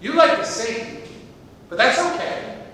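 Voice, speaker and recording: A man's voice: very animated, moderate pace, bright, forceful tone, exaggerated voice. A man playing an eccentric, chatty, pedantic but kindly stranger in a live stage comedy. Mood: encouraging. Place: theatre stage, recorded from far off. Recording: distant, echoey theatre hall, noisy rumble.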